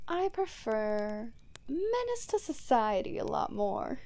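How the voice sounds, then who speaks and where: A woman playing a gleeful, chaos-loving female supervillain in an ASMR roleplay, teasing and breathy, often near a whisper. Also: high-pitched, mellow, exaggerated voice. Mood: gleeful, flirtatious. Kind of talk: ASMR roleplay monologue to the listener.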